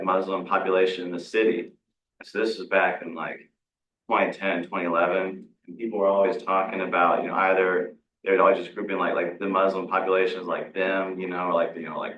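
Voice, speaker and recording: A young man recalling a childhood story, casual and halting with many "you know"s. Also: deep voice. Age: young adult. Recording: dull, echoey, from far off.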